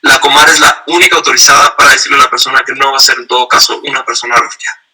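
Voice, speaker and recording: Guy lecturing over a video call, super bright voice, explains things step by step. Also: mid-range voice, steady tone, racing, breathy edge, poor audio. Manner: explanatory, uses acted dialogue examples. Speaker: lecturer on asylum law. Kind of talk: online training lecture.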